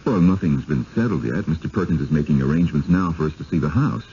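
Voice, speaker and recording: An actor playing a suspicious, proud city husband in a 1970s radio drama, emphatic and incredulous. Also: male voice, very deep voice, lively intonation, quick pace, mellow. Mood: puzzled, wary. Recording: muffled, hissy 1970s radio broadcast.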